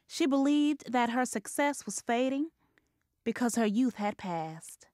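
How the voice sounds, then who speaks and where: A woman playing the narrator of a ghost story audio drama, telling it in a measured, literate way. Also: mid-range voice, a little mellow, no room sound, studio quality, lightly acted.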